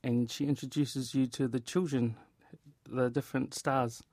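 A man with a New Zealand accent talks casually as an interview guest. His medium-pitched voice has an informal, storytelling manner. The sound is very dry and free of room echo. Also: fairly even intonation, moderate pace, darkish tone. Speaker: theatre director and actor being interviewed.

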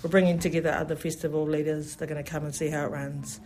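New festival organiser, mid-pitched and enthusiastic, a rambling interview guest. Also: little pitch movement, fast, darkish tone, slight background noise. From New Zealand. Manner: enthusiastic, rambling, many "you know" fillers. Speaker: festival event specialist. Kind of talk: radio interview.

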